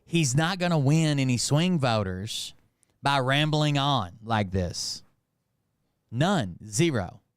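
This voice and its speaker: A man's voice: mid-range voice, expressive, slow pace, smooth, dry recording, studio quality. A man from Montreal hosting casual political commentary in a blunt, mocking, sweary way. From Montreal, Canada. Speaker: host commenting on political clips.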